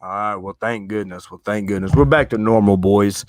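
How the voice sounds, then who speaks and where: A man's voice: lowish voice, moderate pace, no echo, clean studio sound. A man hosting a live sports stream in a loose, slangy, rambling way. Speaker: host. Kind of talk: casual streaming chat.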